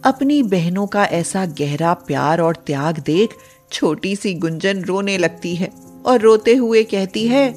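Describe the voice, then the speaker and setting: Female storyteller, mid-pitched, unhurried scripted bedtime narration over soft music. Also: animated.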